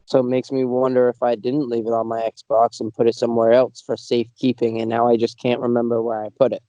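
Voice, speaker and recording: A man's voice: medium pitch, level tone, fast, dark tone, no echo. A man in a casual podcast chat, speaking hesitantly and trailing off. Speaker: podcast co-host. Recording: dull, hard-to-hear mic.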